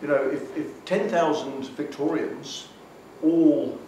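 A man speaking at a conference panel, halting and full of fillers as he builds his point. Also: a little high, mellow, a little hiss or hum. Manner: halting, many fillers and restarts. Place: conference hall. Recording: echoey hall from far off.

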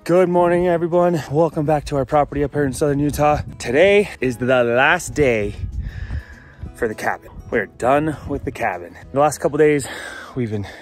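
A man's voice: on the high side, moderate pace, soft background music. An American man in his thirties chatting informally to the camera as a vlog host, outdoors and at arm's length from the mic. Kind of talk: casual vlog chat to camera. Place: outdoors on an open rural building site.

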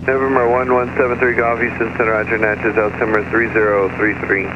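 A man's voice: fairly low pitch, level tone, fast talker. A man working as an air traffic controller, giving clipped, routine radio calls. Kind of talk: radio phraseology. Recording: muffled radio transmission with engine noise.

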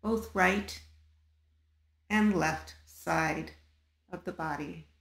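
A woman guiding a body scan meditation in a calm, measured manner, leaving long pauses between short phrases. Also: on the low side, gravelly, reverberant. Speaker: meditation guide.

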